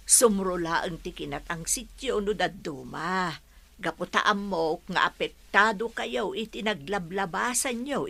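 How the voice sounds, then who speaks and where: A woman with an Ilocano Filipino accent playing a forgiving older household nanny with a creaky, husky voice in a radio drama. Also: mid-range voice, a bit bright, heavily acted.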